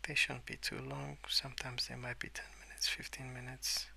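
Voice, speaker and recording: A man speaking softly and hesitantly in a rambling ASMR style, often slipping into whispers at a desk indoors. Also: medium pitch, little pitch movement, normal speed, vocal fry, breathy edge. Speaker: host of a colouring ASMR channel. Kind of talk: soft-spoken rambling ASMR. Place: at a wooden desk indoors, with pencil sounds.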